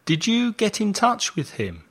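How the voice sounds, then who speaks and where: Slow-paced male English language teacher. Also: high voice, huge pitch swings, breathy edge. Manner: didactic, deliberately enunciated. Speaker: English teacher and podcast host. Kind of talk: language lesson with slow, repeat-after-me delivery.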